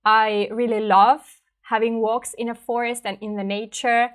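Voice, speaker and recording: Finnish woman, language teacher, thoughtful casual delivery, slightly high-pitched. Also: level tone, moderate pace. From Finland. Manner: thoughtful, conversational, frequent "right?" and fillers. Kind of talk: reflective personal talk to camera.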